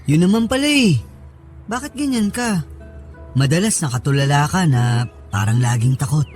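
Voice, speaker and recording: A man's voice: fairly high pitch, lively intonation, normal speed, a little mellow, soft background music, natural voice. A man playing an affectionate young husband in a radio drama, talking in a casual, coaxing, reassuring way. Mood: concerned.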